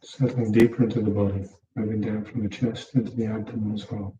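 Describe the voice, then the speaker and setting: A man leading a guided meditation as a teacher, giving calm, unhurried instructions in short, soft phrases. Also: fairly low pitch, a little hiss or hum. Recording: muffled, echoey room, distant mic.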